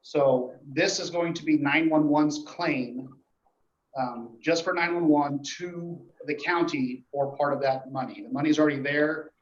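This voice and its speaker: A man gives an informal report to a board at a meeting, explaining things in a halting, matter-of-fact way. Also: fairly high pitch, fairly even intonation. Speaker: emergency dispatch official reporting to a board. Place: indoor meeting room. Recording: echoey meeting room, dull muffled audio.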